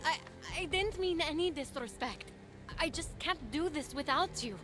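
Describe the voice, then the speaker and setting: A woman's voice: high voice, animated, a little slow, music in the background. A woman voicing a young mortal warrior hero in a video game, earnest and pleading in manner. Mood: earnest, respectful. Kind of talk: video game cutscene acting.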